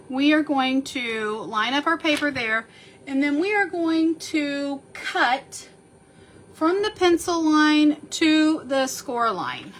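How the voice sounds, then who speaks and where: Woman doing a slow craft tutorial at her table, bit sniffly, a touch high voice. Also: a little hiss or hum. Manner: slow, chatty, talks while working, counting measurements aloud. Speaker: card-making instructor.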